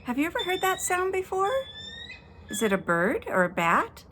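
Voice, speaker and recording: A woman pastor telling children a story and a short devotional outdoors at night, speaking gently and directly to the kids. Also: very high voice, very animated, moderate pace, background noise.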